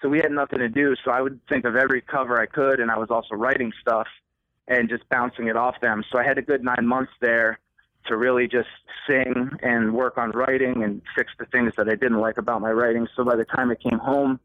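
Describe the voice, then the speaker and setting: A man's voice: mid-range voice, little pitch movement, fairly quick, dry recording. A man musician answering interview questions in a rambling, casual way with lots of fillers. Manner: rambling, many fillers and restarts. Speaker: musician guest. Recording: tinny phone line.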